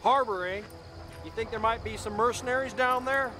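A man's voice: very high-pitched, normal speed, over music. A man playing a curious squad soldier with a questioning manner in a war video game. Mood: hopeful. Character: curious, slow-spoken male squad soldier.

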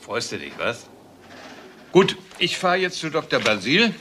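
An actor playing a dryly musing police detective who probes and thinks aloud in a crime radio play. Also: male voice, fairly high pitch, sing-song, measured pace, pushed and bright, heavily acted. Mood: brisk. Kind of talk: German crime radio drama acting. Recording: faint background noise.

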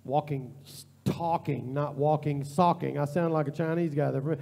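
A man preaching a sermon as a pastor, with informal, repetitive phrasing. He builds to an impassioned near-shout and later drops to a quiet prayer. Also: on the high side, fairly even intonation, normal speed, vocal fry. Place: church sanctuary.

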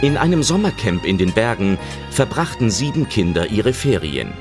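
A man's voice: fairly low pitch, very animated, normal speed, over music. A man narrating a scripted children's adventure audio drama in a storytelling style.

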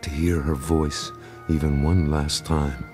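A male actor plays a brooding masked crimefighter in a motion comic. The voice is low, terse and grave, held tightly in control over background music. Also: little pitch movement, slow. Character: stern, brooding male masked vigilante in adulthood. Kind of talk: motion comic dialogue and inner narration.

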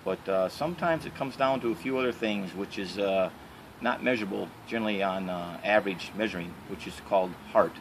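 A middle-aged man with a Michigan accent, answering interview questions outdoors in a halting way with many fillers. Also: lowish voice, noisy background. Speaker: interviewee, canoe racer. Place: outdoors by trees and a house.

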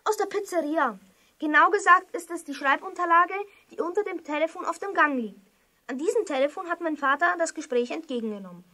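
A woman playing an eager, excitable child detective who talks urgently in a children's audio drama. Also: very high voice, brisk, exaggerated voice. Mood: earnest worry.

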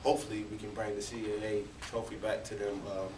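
A college basketball player in his early twenties answers press conference questions in an earnest, hesitant way with frequent pauses. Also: lowish voice, little pitch movement. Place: indoor press conference room. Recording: roomy, distant, noisy press room recording.

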